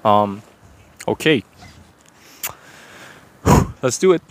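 A young man with a Persian accent, talking unscripted to a phone camera in a rambling, halting way full of fillers and restarts. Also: lowish voice, expressive. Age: young adult. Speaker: vlogger.

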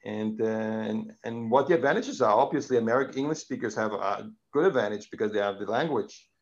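A man's voice: on the low side, a little fast. A man from Denmark, a native Danish speaker, hosts a casual interview, hesitating often and summing up his guest's points. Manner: hesitant, many fillers and restarts, summarizes guest's points. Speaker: interview host. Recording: dull, muffled sound.

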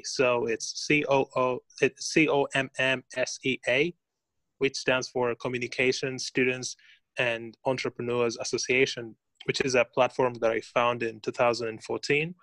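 Male podcast guest, Kenyan accent, medium pitch, careful halting delivery, very dry recording. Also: fairly bright tone, dry recording, low-quality recording. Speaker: podcast guest, founder and student. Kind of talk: conversational self-introduction.

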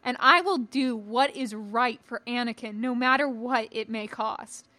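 A principled warrior-monk and teacher, voiced by a woman speaks with firm, formal resolve in a space-fantasy audiobook. The delivery is unhurried and close, with a dry, roomless sound. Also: fairly high pitch, bright, forceful tone, no echo. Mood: resolute. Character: principled, resolute male Jedi knight and patient teacher.

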